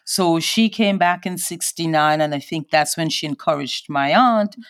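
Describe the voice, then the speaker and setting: A woman in her sixties from Guyana and Trinidad, a guest speaking in a hesitant, reflective way, pausing often as she recalls. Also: low voice, no room sound, clean studio sound. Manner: hesitant, reflective, many pauses and fillers. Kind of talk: personal interview.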